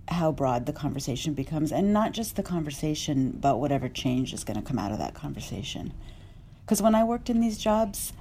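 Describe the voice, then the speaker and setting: Low-voiced woman journalist on a panel. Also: warm tone, a little hiss or hum. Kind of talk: panel discussion.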